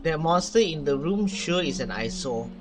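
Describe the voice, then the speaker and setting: A man's voice: on the high side, expressive, moderate pace, over music. A man reading a video game's story aloud in a theatrical way as he plays. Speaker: gaming streamer. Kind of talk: reading game dialogue aloud while reacting.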